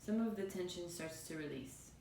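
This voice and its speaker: Woman meditation guide, soothing and spacious phrasing; roomy, echoing recording with faint background rumble. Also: expressive, gravelly, warm tone. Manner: soothing, unhurried phrases with pauses. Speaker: yoga and meditation teacher. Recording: roomy, distant mic, faint noisy rumble.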